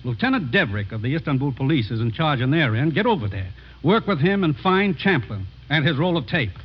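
An actor playing a brisk, earnest government commissioner briefing his agent in a 1950s radio drama. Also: a little high, very animated, husky. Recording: muffled vintage radio recording.

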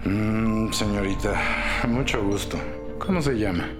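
Man acting a smug, low-voiced crime boss. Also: natural voice. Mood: teasing, smug. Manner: mocking, theatrically polite, unhurried. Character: smug, playful, despised male crime boss in prison. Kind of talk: audio drama acting. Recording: music bed under the voice.

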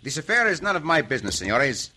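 An actor playing a gallant, calm cowboy hero in an old-time radio western, courteous and firm. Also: male voice, on the high side, quick pace, full character voice. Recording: noisy old radio show recording.

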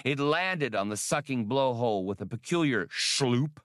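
A man narrating an adventure audiobook in a dramatic, deliberate and expressive scripted style. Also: medium pitch, no room sound, studio quality.

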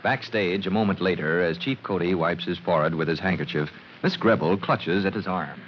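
An actor narrating a 1940s radio serial in a measured, suspenseful announcer's style. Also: male voice, low-pitched, close to an everyday voice. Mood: storytelling. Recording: noisy, dull vintage radio recording.

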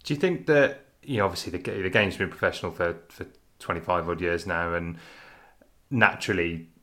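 A man's voice: low voice, fairly bright tone. A man interviewing a guest, stumbling and repeating words as he frames his questions. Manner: halting, repeats words while framing questions. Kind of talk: conversational interview.

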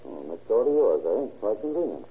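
Fast, low-voiced hard-boiled detective. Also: male voice, big pitch swings, a little hiss or hum. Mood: pushy. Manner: clipped, blunt questioning. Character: brusque, hard-boiled male police detective, pushy and dry. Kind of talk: old-time radio crime drama acting. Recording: tinny old radio recording.

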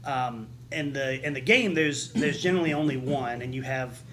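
A man explaining things casually in a group discussion, halting and often repeating his words as he goes. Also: medium pitch, expressive, background noise. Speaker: podcast co-host.

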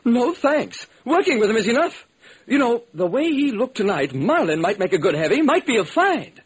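A man's voice: very high-pitched, big pitch swings, moderate pace, slightly rough, pitched above the performer's own voice, heavily acted. A man playing a flamboyant, fussy male film director in a radio drama, gushing and theatrical. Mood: chatty, fussy. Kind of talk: classic radio detective drama acting.

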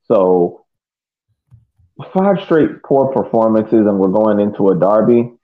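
A man co-hosting a soccer podcast, giving casual analysis in a halting, deliberate way. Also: lowish voice, expressive. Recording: tinny phone-like call audio.

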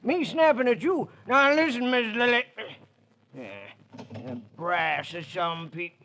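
An actor playing a chatty, dawdling old small-town station agent in a folksy, rambling manner, 1940s radio drama style. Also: male voice, very high-pitched, expressive, takes it slowly, fairly bright tone, exaggerated voice. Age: older. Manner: folksy, rambling, easily sidetracked. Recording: noisy old radio recording.

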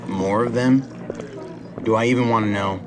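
A man's voice: on the low side, steady tone, normal speed, music in the background, natural voice. A man playing a no-nonsense, easily exasperated federal agent in an audio drama, with a terse, dry delivery.

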